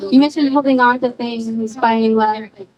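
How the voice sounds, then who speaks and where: A woman's voice: on the high side, steady tone, moderate pace, darkish tone, rough recording. A woman moderating a panel, asking long, rambling questions with many restarts.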